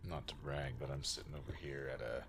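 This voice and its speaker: A man chatting as a player in a tabletop role-playing game, halting and understated, over background noise. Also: rumbling low, little pitch movement. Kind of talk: casual in-game chat. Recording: constant clear background noise.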